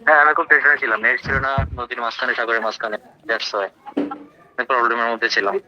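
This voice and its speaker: A man's voice: medium pitch, fast talker, sharp tone. A man with a Bangladeshi accent chatting casually and insistently on a slightly dull, noisy phone call.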